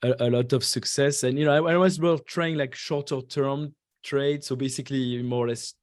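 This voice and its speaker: A man in his forties from France with French as his first language, a podcast guest who talks haltingly with many fillers and restarts. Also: mid-range voice, fairly even intonation, no room sound, studio quality. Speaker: guest investor. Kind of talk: podcast interview.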